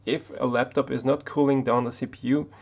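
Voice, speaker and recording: A man's voice: medium pitch, level tone, fairly quick, darkish tone. A man from Austria, not an English speaker, talking unscripted to the camera as a tech YouTuber, hesitant with many restarts.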